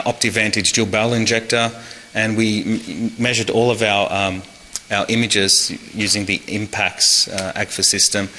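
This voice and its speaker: A man's voice: on the low side, pushed and bright. A man giving an academic medical presentation at a conference, explaining things informally and addressing listeners directly. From Australia. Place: conference hall. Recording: reverberant hall with faint noise.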